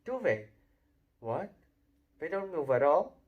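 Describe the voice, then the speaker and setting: A man voicing a chatty, blunt young boy wizard who is excitable and quick to grumble. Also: on the high side, highs cut off.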